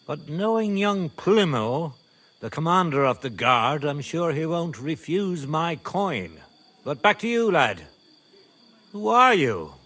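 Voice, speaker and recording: An actor playing a middle-aged medieval nobleman and father, speaking in a measured, wry and wary manner for a video game. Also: a little high, sing-song, sharp tone, full character voice. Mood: courteous, wry. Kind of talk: video game cutscene acting.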